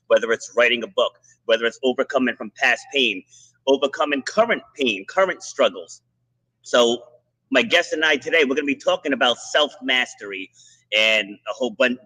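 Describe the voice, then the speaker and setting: A man hosting a podcast, giving a motivational monologue and interviewing a remote guest in bursts of quick, restarting speech. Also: mid-range voice, bright, forceful tone, slightly rough, poor audio. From Rhode Island. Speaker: podcast host.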